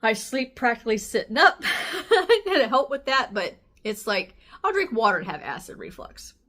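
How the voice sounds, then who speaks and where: Higher-pitched woman, slightly raspy, chatting candidly. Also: big pitch swings, moderate pace, sharp tone. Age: 40s. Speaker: health vlogger. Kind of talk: casual chatty personal update.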